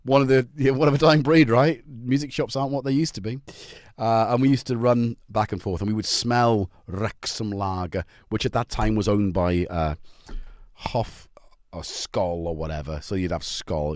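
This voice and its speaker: A man's voice: on the low side, fairly even intonation, normal speed, no echo, studio quality. A middle-aged man from North Wales with a North Welsh accent, chatting casually with viewers on a livestream and joking as he greets them by name. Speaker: livestream host. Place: home.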